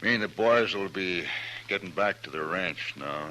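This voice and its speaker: An American actor playing a gruff, proud, plain-spoken rancher in 1950s radio drama, slow and deliberate. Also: male voice, low voice, pushed and bright. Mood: grave, contrite. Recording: noisy old radio drama recording.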